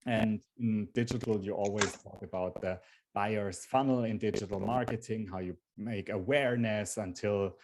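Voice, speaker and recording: A man's voice: on the low side, steady tone, normal speed, darkish tone, slight background noise, rough recording. A man in his forties from Germany, with a German accent, hosting a live webinar presentation in an earnest way, often restarting his phrases. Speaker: webinar host.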